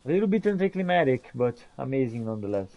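A man's voice: medium pitch, sing-song, fast talker, highs cut off. A man giving theatrical, exclamatory roleplay commentary as he plays a game, talking to the characters in it. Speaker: gamer playing in character. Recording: game sounds behind the voice.

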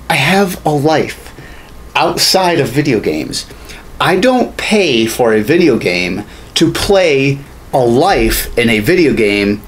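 A man in his thirties ranting casually as a podcast co-host, profane, exasperated and sarcastic. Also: fairly high pitch. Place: small home studio room. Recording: noisy room, steady background ambience.

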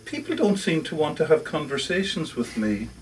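A man's voice: fairly high pitch, big pitch swings, normal speed, far from the mic, slight background noise. A man reading a short story monologue as a lonely, socially awkward older lodger, confiding, hesitant and defensive, correcting himself as he goes. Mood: rejected, wistful. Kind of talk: first-person short story monologue read aloud. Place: live reading in a bookshop.

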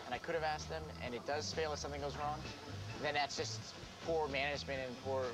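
A man's voice: fairly high pitch, steady tone, brisk, low-quality recording. A man explaining ship refit work in a documentary interview, earnest and self-critical. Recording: music bed underneath.

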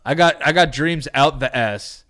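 A man's voice: on the high side, takes it slowly, background noise. A man co-hosting a podcast, riffing on absurd ideas in casual, profane slang. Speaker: podcast host. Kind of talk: casual comedic banter.

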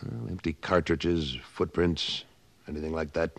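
A man's voice: extremely low pitch, fairly even intonation, measured pace, gravelly, exaggerated voice. An actor playing a tough cattle rancher and former cavalryman who talks in a blunt, pressing way in a 1950s radio western. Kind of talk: 1950s radio drama acting.

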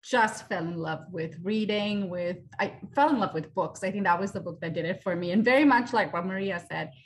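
A woman in her thirties from India, an author speaking casually on a panel over a video call, animated and full of fillers. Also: fairly low pitch, a little fast. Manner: animated, gestures with hands, many fillers. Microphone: video call webcam.

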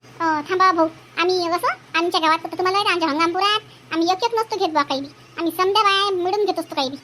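A woman's voice: very high voice, fast, bright, forceful tone, slight background noise. A woman playing a bossy, chatty village housewife in a comic cartoon, with a Varhadi Marathi accent and a cartoonishly raised voice. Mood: curious, chatty. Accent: Varhadi Marathi from Vidarbha. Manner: folksy, bossy, talkative.

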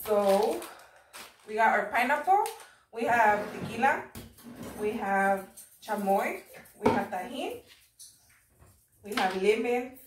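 A woman's voice: on the high side, lively intonation, slow, pauses often, distant mic, background noise. Giggly Mexican American woman in echoey kitchen. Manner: giggly, chatty, switches into Spanish. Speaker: vlog host. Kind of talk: casual cooking chat. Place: home kitchen, indoors. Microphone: camera across the room.

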